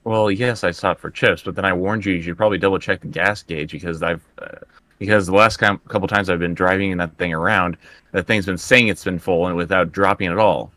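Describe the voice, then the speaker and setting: A man's voice: sits low, steady tone, brisk, pushed and bright, no echo. A man casually playing a tabletop game in character, with dry, hesitant, needling banter.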